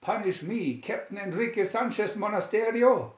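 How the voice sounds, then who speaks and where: A man's voice: high voice, moderate pace, roomy sound, pitched above the performer's own voice, natural voice. A man voicing a furious, boastful army commandant in a storybook, barking and scornful, in a dull room recording. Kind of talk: storybook character voice.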